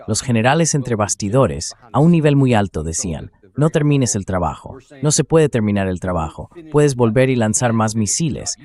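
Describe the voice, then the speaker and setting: A man's voice: fairly low pitch, darkish tone. A synthetic male voice-over reading a translated conversation about war and politics in an interview style.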